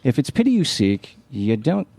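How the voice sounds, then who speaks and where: An actor playing a blunt, skeptical, penny-pinching husband in a live radio play, with wry, slangy talk. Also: male voice, medium pitch, very animated, normal speed, gravelly, darkish tone, no echo. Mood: defensive, blunt.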